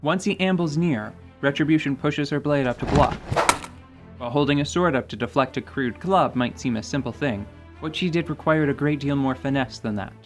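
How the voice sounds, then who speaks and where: A man's voice: a little high, moderate pace. A man giving dramatic, scripted narration for a fantasy radio play. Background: music.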